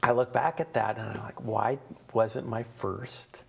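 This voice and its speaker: Gravelly, husky North American male host. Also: fairly low pitch, steady tone, a little slow. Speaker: talk show host. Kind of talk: conversational faith interview.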